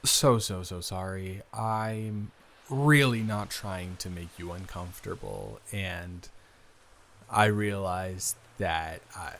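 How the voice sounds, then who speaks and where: A man playing an awkward, earnest young man in an improvised intimate roleplay, hesitant, rambling and quick to apologize. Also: sits low, fairly bright tone, a little hiss or hum, exaggerated voice. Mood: flustered, apologetic. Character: awkward, earnest, smitten young man, best friend's brother.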